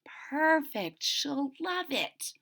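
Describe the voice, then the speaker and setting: A woman's voice: high voice, very animated, takes it slowly, sharp tone, voice pushed up, full character voice. Woman reading as a hearty old grandpa. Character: cheerful adventurous old grandfather. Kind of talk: read-aloud storybook voice.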